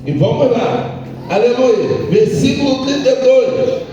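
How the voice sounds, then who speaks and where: A hoarse male pastor, preaching and leading the congregation in responsive Bible reading with a sing-song cadence. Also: very high-pitched, unhurried, warm tone, lo-fi sound. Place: large church hall. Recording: echoey church hall, distant mic.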